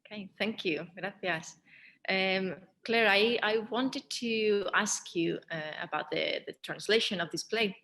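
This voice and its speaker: A woman hosts a panel discussion in a bright, forward voice of medium pitch. She asks her questions off the cuff, with hesitant pauses between phrases. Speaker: Q&A moderator.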